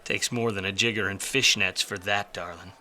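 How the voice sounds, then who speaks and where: A hard-boiled 1940s gumshoe, acted by a man, in a noir radio play. The voice is lowish with a sharp, forceful edge, and he talks in wry, self-loathing slang. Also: steady tone, normal speed, faint music behind. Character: weary, wisecracking, self-loathing 1940s private detective. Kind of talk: film noir radio play acting and narration.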